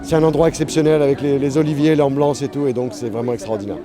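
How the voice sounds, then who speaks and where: A man's voice: medium pitch, steady tone, fast talker. A man being interviewed as a painter, talking casually and enthusiastically outdoors at a festival. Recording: music behind, outdoor event.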